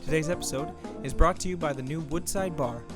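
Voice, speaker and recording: A man's voice: fairly high pitch, fairly quick, music in the background. A man hosting a podcast, interviewing a guest in a friendly way and laughing easily. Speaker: podcast host.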